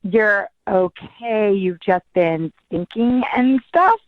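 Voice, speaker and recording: A woman with a medium-pitched voice comes through a muffled phone line. She talks in a casual, conversational style, with a dry sound and no room around her. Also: lively intonation, slow pace, no room sound. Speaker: person called on phone.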